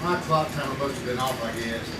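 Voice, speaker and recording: An actor playing a small-town would-be bank robber who mutters and gloats to himself in a live radio play. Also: male voice, mid-range voice, fast, lightly acted. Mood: sheepish. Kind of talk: live old-time radio drama acting. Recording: roomy live stage, distant mic, noisy.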